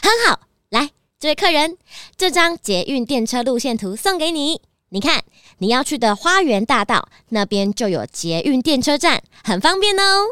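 A woman's voice: high voice, sing-song, normal speed, bright, forceful tone, clean tone, dry recording, studio quality, full character voice. A woman with a Taiwanese accent playing an earnest, preachy and cheerful car rental clerk in a children's radio drama, lecturing eagerly. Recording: music under the voice.

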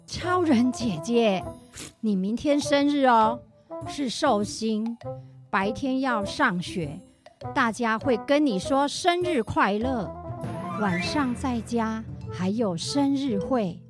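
A coaxing, patient mom. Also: female voice, fairly high pitch, lively intonation, slow, music in the background. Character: gently teasing mother. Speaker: school principal. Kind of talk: children's radio drama.

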